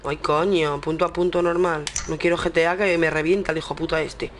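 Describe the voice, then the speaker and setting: A woman's voice: sits low, steady tone, brisk, a little hiss or hum. A young woman with a Spain Spanish accent chatting casually and informally, with swearing, as she streams a video game. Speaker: gaming streamer. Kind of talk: casual chat while gaming. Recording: game music behind. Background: video game music.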